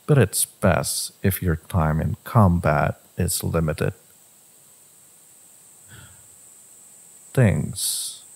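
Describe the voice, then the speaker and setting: Man playing a low, gravelly brooding villain lover. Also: darkish tone, exaggerated voice. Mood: serious, intimate. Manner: slow, deliberate, with pauses and sighs. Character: brooding, devoted male fantasy villain, secret lover. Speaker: audio roleplay voice actor. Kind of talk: dramatic second-person romance audio roleplay.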